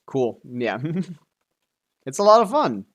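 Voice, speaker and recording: A man's voice: mid-range voice, big pitch swings, slow pace, a bit bright. A man live streaming a video game, chatting casually in a laid-back way with some offhand swearing as he comments on play.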